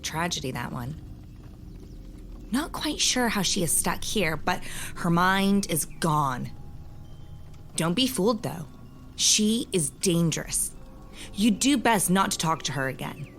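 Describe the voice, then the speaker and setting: A woman playing a wise, hospitable and grave keeper of magical lore, telling things deliberately with old-fashioned phrasing in an audio drama. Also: fairly low pitch, lively intonation, fairly bright tone, a little hiss or hum, full character voice. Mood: solemn warning.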